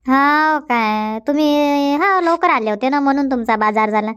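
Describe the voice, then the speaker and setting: A woman's voice: high voice, measured pace, fairly bright tone. A woman voicing a chatty, bossy but good-natured village housewife in a dubbed comedy cartoon, speaking colloquial, repetitive Marathi with a rural Vidarbha accent.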